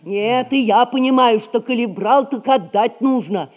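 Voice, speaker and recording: A woman's voice: fairly high pitch, unhurried. An actress playing a poor, honest, plain-spoken middle-aged widowed mother in a theatrical audio comedy. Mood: firm, homely. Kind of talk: classic Russian stage comedy acting, audio play.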